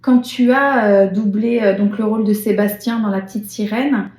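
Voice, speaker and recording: A woman's voice: mid-range voice, normal speed, a little mellow, echoey room. A woman interviewing a voice actor in casual chat, sharing her own dubbing memories expressively.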